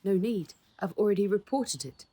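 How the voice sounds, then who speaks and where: A woman's voice: medium pitch, expressive, moderate pace, warm tone, breathy edge, voice pushed up. A woman voicing a worried, firm father in a children's story, emphatic and urgent. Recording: noticeable background noise.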